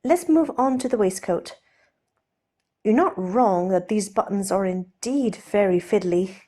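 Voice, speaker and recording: A woman voicing a flustered, fussily polite gentleman sailor who babbles hesitantly in an audiobook story. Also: medium pitch, measured pace, natural voice. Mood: bright, encouraging. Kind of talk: audiobook character voice.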